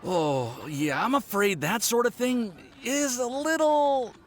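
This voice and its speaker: A man's voice: very high voice, slow, slight background noise. A man voicing a hesitant, awkward mild-mannered male alchemist in a game cutscene. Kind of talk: video game cutscene dialogue.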